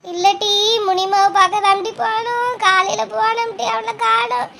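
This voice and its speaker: A woman acts out a helpless older village mother in a cartoon drama, with an extremely high, plaintive voice. She laments over and over in a southern Tamil Nadu accent. There is clear noise behind her. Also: fairly even intonation, moderate pace. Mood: distraught, tearful.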